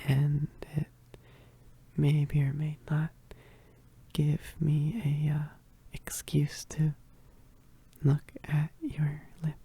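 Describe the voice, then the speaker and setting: A woman playing a shy, flustered young werewolf roommate in a romantic ASMR roleplay, halting and stammering in a soft, breathy voice, often whispering, close to the mic. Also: low-pitched, steady tone, full character voice. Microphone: close microphone.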